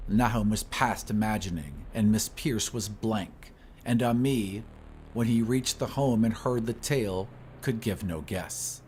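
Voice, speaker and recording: A man's voice: fairly low pitch, measured pace, darkish tone. Male horror narrator over noisy ambience. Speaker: audiobook narrator. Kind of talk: scripted narration of a horror story. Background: ambient sound effects.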